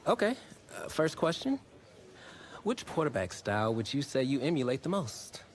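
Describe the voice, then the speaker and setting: A man playing an eager, excitable young sports reporter conducting an interview in a video game cutscene. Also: mid-range voice, normal speed, slight background noise, lightly acted. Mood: inquisitive. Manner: eager, animated.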